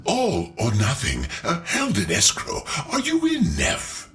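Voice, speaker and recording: A man's voice: on the high side, huge pitch swings, slow pace, fairly bright tone, soft background music, full character voice. A man playing a shrewd, eloquent scholarly strategist in a video game, speaking in formal, theatrical phrases. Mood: sly, persuasive. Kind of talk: video game character acting.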